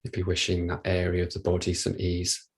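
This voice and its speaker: A man leading a guided body scan meditation, speaking gently and without hurry, leaving long pauses. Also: deep voice, completely flat delivery, slightly rough. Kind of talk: guided meditation, soft instruction.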